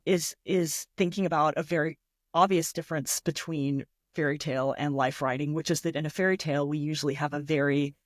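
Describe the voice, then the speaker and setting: Woman writer getting interviewed, thinks out loud, into it, really dry sound. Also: deep voice, no room sound. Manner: reflective, enthusiastic, illustrates points with imagined examples. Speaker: guest writer. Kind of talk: thoughtful interview talk.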